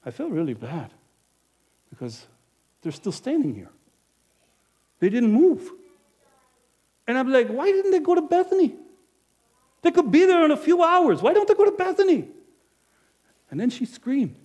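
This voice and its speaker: A man acting out a middle-aged innkeeper in a dramatic first-person monologue, emphatic and animated, swinging between loud and hushed. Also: high voice, a little slow, pauses often, breathy edge, exaggerated voice. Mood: guilty. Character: middle-aged male innkeeper, money-minded and guilt-ridden. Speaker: actor.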